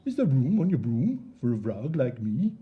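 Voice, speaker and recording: A man's voice: mid-range voice, big pitch swings, normal speed, narrow, tinny audio, natural voice. A man voicing a keen, polite storybook frog with a singsong delivery. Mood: hopeful.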